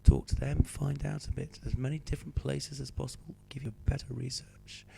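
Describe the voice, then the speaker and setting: A male teacher with a cold, hoarse and croaky, patiently talking students through a homework sheet. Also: medium pitch, fairly even intonation, brisk, dark tone, slight background noise. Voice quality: croaky, slips into whispery breaks. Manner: patient, explaining step by step. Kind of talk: homework help walkthrough.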